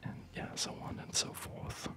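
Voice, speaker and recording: A man giving whispered ASMR game commentary as a video host, in a soft breathy whisper with a rambling, hesitant, unhurried manner. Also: noisy background.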